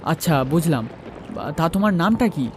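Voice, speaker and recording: A man's voice: fairly high pitch, animated, moderate pace, a little mellow, slight background noise. A man narrating a first-person horror story and acting out its dialogue.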